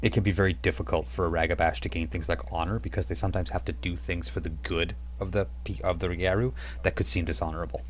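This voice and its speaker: Deep-voiced game master explaining rules. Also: male voice, fairly even intonation, fast, few pauses, a little hiss or hum. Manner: explains rules patiently, stops to check notes. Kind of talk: running a tabletop game. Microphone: streaming mic.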